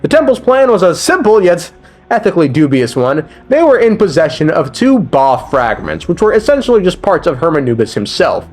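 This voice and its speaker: A man's voice: high-pitched, sing-song, a little fast. A man narrating a video game story recap in a casual, scripted way, with slangy, irreverent asides.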